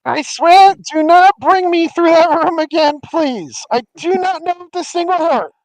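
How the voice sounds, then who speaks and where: A game master voicing a brash, whiny spirit trapped in a carried object, shouting and ranting with a New York accent. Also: medium pitch, expressive, moderate pace, creaky, dry recording, voice pushed up, rough recording. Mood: outraged.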